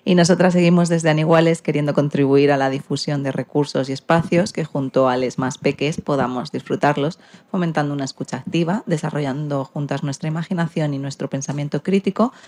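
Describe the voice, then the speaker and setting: A woman's voice: low voice, darkish tone. A woman hosting a radio programme, presenting from a script. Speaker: radio show host.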